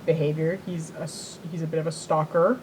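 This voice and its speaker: A woman's voice: low-pitched, flat delivery, fairly quick, noisy background. A woman co-hosting a podcast in casual chat, rambling and repeating herself as she tells things. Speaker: podcast co-host.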